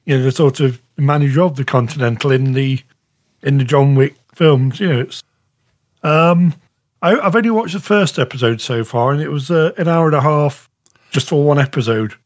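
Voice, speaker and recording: A man chatting casually as a podcast panellist, halting and rambling, often saying "you know". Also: medium pitch. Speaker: podcast panellist reviewing shows. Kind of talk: casual chat.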